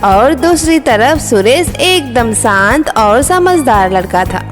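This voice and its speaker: A female narrator tells a scripted story for children. Her voice is high and her delivery is very slow. There is soft music behind her. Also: lively intonation.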